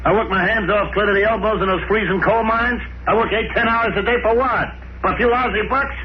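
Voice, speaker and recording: An actor playing an embittered, hard-drinking convict miner on Mars in a 1950s radio drama, with rough slangy speech and a whining, complaining manner. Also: male voice, high-pitched, brisk, natural voice. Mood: bitter, indignant. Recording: dull, noisy old 1950s radio recording.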